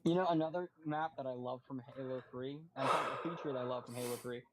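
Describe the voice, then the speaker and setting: A man's voice: mid-range voice, quick pace, rough recording. A man in a casual chat about video games, explaining things in enthusiastic detail.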